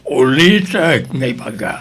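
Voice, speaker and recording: A man playing a firm, aging farmer father who speaks gravely in a family radio melodrama. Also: mid-range voice, big pitch swings, a little slow, fairly bright tone, heavily acted. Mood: firm refusal.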